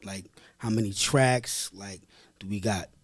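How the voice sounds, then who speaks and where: A man's voice: fairly low pitch, steady tone, a little slow, husky. An American man asking questions as an interviewer in an informal, slangy, conversational way. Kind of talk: casual interview chat.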